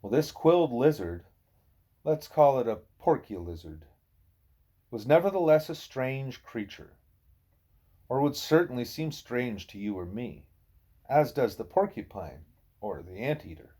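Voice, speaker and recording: A man's voice: medium pitch, animated, unhurried, pauses often, mellow, husky. A man reading a novel aloud as an audiobook narrator. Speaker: audiobook reader.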